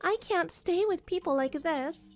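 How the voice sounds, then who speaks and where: High-pitched woman narrating a drama story. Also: unhurried, soft background music. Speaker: narrator and voice actor. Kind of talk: first-person scripted drama narration.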